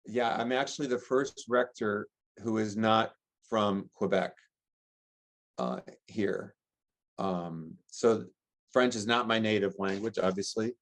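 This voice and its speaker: An American man, a middle-aged priest, giving earnest, gentle interview answers and listing ideas in long chains. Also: lowish voice, slow pace. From United States. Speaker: priest and rector, interview guest.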